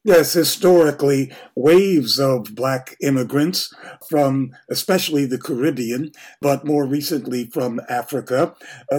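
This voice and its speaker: A man co-hosting a radio show, asking questions calmly and deliberately. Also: medium pitch, breathy edge. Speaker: radio co-host interviewer. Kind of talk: radio interview.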